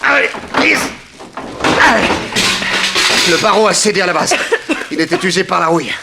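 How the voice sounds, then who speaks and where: An actor playing a brave, daring detective hero in a 1960s radio serial, urgent and commanding. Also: male voice, high-pitched, very animated, normal speed, gravelly, over loud music, exaggerated voice. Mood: urgent, determined. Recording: noisy old radio recording with clicks.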